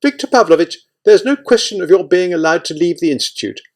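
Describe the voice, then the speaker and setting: A man voicing a male institute official in an audiobook, condescending and insistent, placating with quiet menace. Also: on the high side, sing-song, brisk, pitched above the performer's own voice, exaggerated voice. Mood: stern. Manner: smooth-talking, condescending, insistent. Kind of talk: audiobook character acting.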